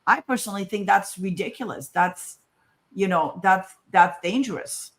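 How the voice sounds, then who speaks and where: A woman's voice: mid-range voice, moderate pace. A woman from Morocco speaking as a scientist in an informal talk, emphatic and conversational, often asking rhetorical questions. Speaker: scientist giving a conference talk.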